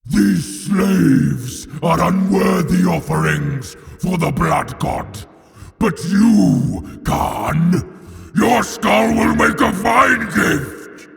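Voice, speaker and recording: A man's voice: sits high, animated, gravelly, exaggerated voice. A man voicing a huge, bloodthirsty horned demon father in an audio drama, growling and snarling grandiose declarations. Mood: menacing. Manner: bellowing, drawn-out grandiose declarations. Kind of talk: audio drama villain acting. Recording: long hall reverb, faint music behind.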